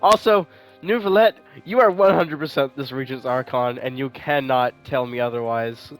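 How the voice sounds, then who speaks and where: A man's voice: medium pitch, normal speed, soft background music, rough recording. A man giving casual reaction commentary as he plays a video game. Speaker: gaming streamer.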